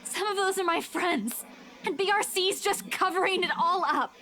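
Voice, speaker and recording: A woman's voice: very high-pitched, moderate pace, pushed and bright, a little hiss or hum, poor audio. An actress playing a desperate young woman pleading for help in a horror video game. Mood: urgent. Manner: urgent, insistent.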